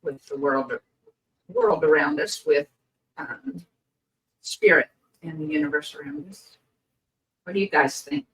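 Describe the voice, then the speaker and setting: Podcast co-host, woman, croaky voice, halting and unhurried, sits a little far off the mic. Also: low-pitched, big pitch swings. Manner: hesitant, many pauses and fillers. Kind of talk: slow reflective podcast chat.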